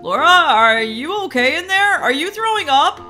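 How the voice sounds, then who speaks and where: A woman's voice: high-pitched, very animated, moderate pace, pushed and bright, faint music behind, heavily acted. A woman playing a worried, goofy young husband in a children's skit, fretful and dramatic. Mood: concerned, goofy. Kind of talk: playful skit role-play for children.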